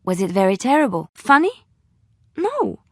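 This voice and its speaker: A woman playing a devout, gentle, romantic young companion in a fantasy video game, speaking in formal, earnest phrases. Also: on the high side, wildly swooping pitch, normal speed, slightly rough, dry recording, studio quality, heavily acted.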